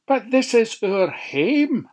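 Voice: mid-range voice, sing-song, slow pace, darkish tone, voice pushed up. A reader doing a fretful Scottish pig. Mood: cautious. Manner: fretful, warning. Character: cautious homebody male pig.

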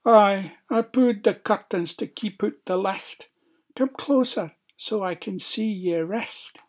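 A storybook wolf pretending to be an old Scottish granny, acted out by a storyteller. The voice is a high falsetto, sugary and beckoning, with sly intent underneath. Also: slow pace. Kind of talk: storybook character voice.

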